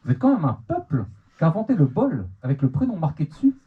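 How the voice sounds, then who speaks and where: A man's voice: a little high, sing-song, fast, slightly rough. A young man from the Manche region of France with a Normandy accent, doing a teasing stand-up set in a club and often restarting his words. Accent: Manche Normandy French. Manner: teasing, self-mocking, stammering restarts. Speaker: stand-up comedian. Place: comedy club stage. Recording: dull lo-fi club recording, noisy. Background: audience laughter.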